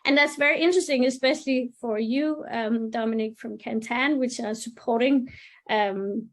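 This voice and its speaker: Upbeat woman, higher voice. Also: normal speed. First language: Danish. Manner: upbeat, chatty, searching for words. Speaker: product marketing manager. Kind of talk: webinar presentation.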